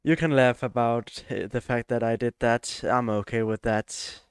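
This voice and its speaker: A man's voice: medium pitch, fairly even intonation, moderate pace, clean tone, no room sound, clean studio sound. A man from Denmark, chatting hesitantly with many fillers while streaming a flight simulator. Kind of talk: live stream gameplay chat.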